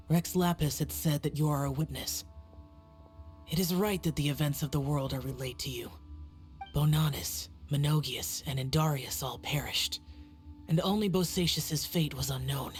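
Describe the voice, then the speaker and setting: A woman voicing a solemn, aloof young male guardian spirit in a video game, speaking in a measured, formal way. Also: low-pitched, darkish tone, close to an everyday voice.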